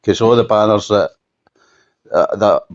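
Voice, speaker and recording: Low-voiced Scottish man on a dull phone line. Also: a little hiss or hum. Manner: halting, repetitive, emphatic restatements. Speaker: campaigner being interviewed. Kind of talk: unscripted phone interview. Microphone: telephone.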